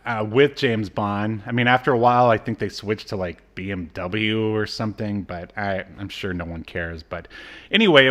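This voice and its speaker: A man's voice: fairly low pitch, a bit bright. A man co-hosting a podcast, chatting casually and jokily as he rambles through his notes. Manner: jokey, rambling. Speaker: podcast co-host. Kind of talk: casual banter, reading off credits.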